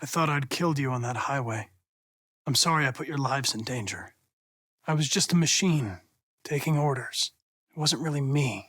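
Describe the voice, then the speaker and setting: A man's voice: mid-range voice, normal speed, a bit bright, close to an everyday voice. An American man playing a polite, analytical young android detective in a video game, earnest and determined, with a precise, formal delivery. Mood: soft regret.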